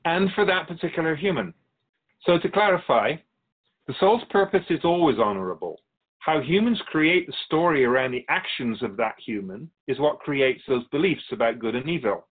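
A man playing a patient, wise, otherworldly Keeper of Souls who teaches in a measured, philosophical way in a radio drama. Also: a little high, expressive, phone-quality sound. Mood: patient, instructive. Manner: measured, philosophical, gently instructive. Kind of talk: scripted radio drama dialogue.

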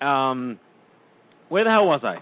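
A man's voice: medium pitch, big pitch swings, a little slow, clear, resonant tone, no room sound. A man co-hosting a radio show who reads the news with a hyped, playful delivery. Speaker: co-host. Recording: tinny phone-like line with music behind.

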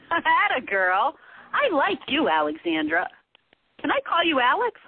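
A woman's voice: sits high, wildly swooping pitch, unhurried, full character voice. A woman playing a blunt, wisecracking sister in a radio play, sardonic and teasing. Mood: welcoming, amused. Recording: tinny phone-like lo-fi audio.

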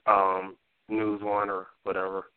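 A man hosting an internet radio show, asking a guest questions in a relaxed, conversational way. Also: low-pitched, takes it slowly, clear, resonant tone, dry recording. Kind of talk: casual talk radio interview. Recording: dry, tinny phone-like sound.

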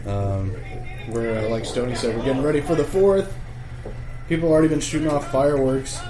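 A man kicking off a casual fan show with friends, joking and stumbling through his words with plenty of fillers. His voice sits in the middle range. The sound is roomy, with a clear echo. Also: medium pitch, a crowd in the background. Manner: jokey, halting, many fillers and laughs. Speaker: podcast co-host. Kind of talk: casual chat among fans.

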